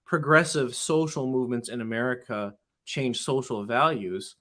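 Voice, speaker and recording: A middle-aged man talks through his ideas in a casual interview, earnest and explanatory. He stumbles into frequent restarts and fillers, circling back before landing his point. His voice sits at an ordinary pitch for a man. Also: moderate pace, sharp tone. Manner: earnest, many restarts and "you know". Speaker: interview guest, public-school teacher.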